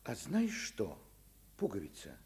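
An actor playing a kindly, principled doctor and father in a radio drama, conversational and gently ironic. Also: lowish voice, huge pitch swings, measured pace, vocal fry, heavily acted. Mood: gentle. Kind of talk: Soviet radio drama acting.

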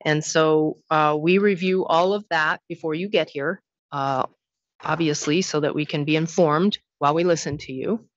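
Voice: medium pitch, steady tone, moderate pace, no echo. Hearing chair explaining procedure, reassuring with lots of ums, dry muffled mic. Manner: explanatory, reassuring, filler-heavy. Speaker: commission chair addressing an applicant.

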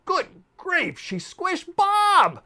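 Tiny indignant critter for a storybook , man putting it on: high, shrill, loudly exclaiming. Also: wildly swooping pitch, drawn-out words, heavily acted. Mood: aghast. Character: crowded, indignant little creatures, sea life and insects.

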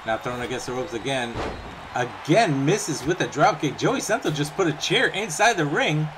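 A young man doing play-by-play commentary over a wrestling video game, chatty and casual between calls and getting excited on the big moves. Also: on the high side, normal speed, background noise. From Puerto Rican background. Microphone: home microphone, voice-over on game footage.

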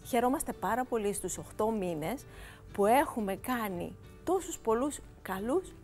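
Eager, lively woman in her forties speaking in a TV studio interview, her voice sitting a little high. She explains with animation and warmth, and faint music plays softly behind her. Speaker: pet grooming salon owner, guest.

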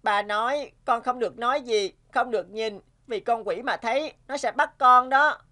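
A small, inquisitive boy in an animated family drama. The voice is high and sharply bright, with a polite, childlike innocence. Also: normal speed. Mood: worried, earnest. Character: curious, innocent little boy.

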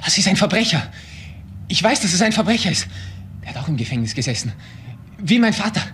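An actor playing an indignant grown son in a crime radio play, defensive and emphatic. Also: male voice, sits high, big pitch swings, quick pace, fairly bright tone. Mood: bitter. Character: indignant grown son, bitter about criminals. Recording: faint background noise.

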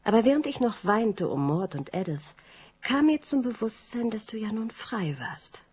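An actress playing a young heiress confessing her love, speaking softly and often whispering, in a radio drama. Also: fairly high pitch, very animated, moderate pace, slightly rough. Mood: tender. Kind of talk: 1980s radio drama acting.

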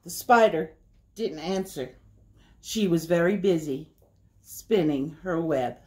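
A middle-aged woman hosting a children's story time, reading aloud in a deliberate, sing-song way. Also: on the low side, animated, dark tone. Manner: slow, deliberate, sing-song repetition for young listeners. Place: small indoor room by a window.

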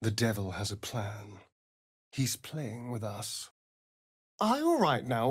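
An actor playing a vain, sardonic vampire rogue in a video game, with an arch, drawling, theatrical delivery. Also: male voice, mid-range voice, slightly rough, no room sound, clean studio sound, full character voice. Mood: subdued. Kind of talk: video game character acting.

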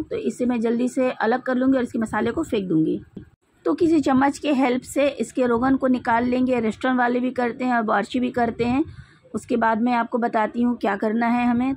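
High-pitched Indian woman giving cooking instructions. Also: fairly even intonation, brisk, darkish tone. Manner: instructional, methodical. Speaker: home cook presenting a recipe. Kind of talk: step-by-step cooking tutorial narration.

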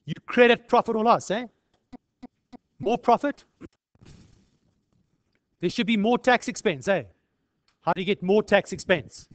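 A man's voice: sits high, unhurried, long pauses, dry recording. A South African man lecturing in an informal tutorial style, conversational and peppered with rhetorical questions. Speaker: accounting lecturer.

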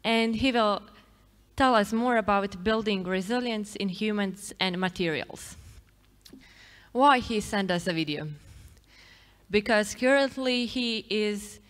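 A woman's voice: mid-range voice, takes it slowly, pauses often. A young woman, introducing a speaker from notes at a stage microphone in a theatre. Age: young adult. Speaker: event presenter introducing a speaker. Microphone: microphone on a stand. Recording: echoey hall with long reverb.

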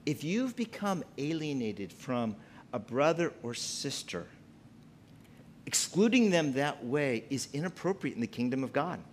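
A man in his fifties preaching a sermon from a church pulpit, emphatic and gesturing as he speaks. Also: a little hiss or hum. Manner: preaching with wide pitch swings, emphatic and gesturing. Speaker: pastor. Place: church sanctuary at a pulpit, indoors.

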